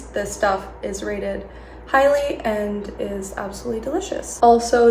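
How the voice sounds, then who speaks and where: A woman's voice: mid-range voice, darkish tone. A congested young woman chats to her viewers at home, friendly and easygoing. Her voice bounces around a roomy, echoey space, with a faint hiss underneath. She sounds like she is still shaking off a cold. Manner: chatty, friendly, talking to viewers. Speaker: vlogger. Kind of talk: casual haul vlog talk.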